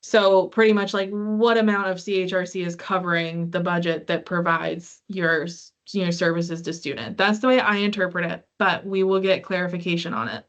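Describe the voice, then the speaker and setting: A woman's voice: lowish voice, moderate pace, few pauses, a bit bright. A woman hosting a conference call, answering questions from participants. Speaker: meeting host. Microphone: online conference call. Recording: muffled conference call audio.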